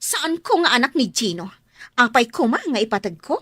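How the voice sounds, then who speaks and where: An actress playing a pleading, jealous, sharp-tongued wife and mother in an Ilocano radio drama, with an Ilocano accent and a melodramatic delivery. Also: on the high side, big pitch swings, moderate pace, breathy edge, no room sound, studio quality, full character voice. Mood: defiant.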